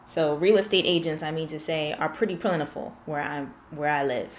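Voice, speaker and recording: A woman's voice: low voice, a little fast, roomy sound. A woman confidence coach in a one-on-one session, explaining with examples and asking probing questions.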